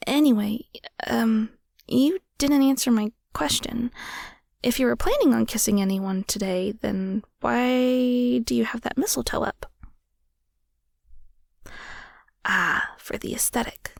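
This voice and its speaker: A woman voicing a playful, easygoing, easily flustered winged mistletoe sprite in an intimate audio roleplay, with casual, halting, quippy rambling. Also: on the high side, gravelly, dry recording, studio quality. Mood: curious, gentle.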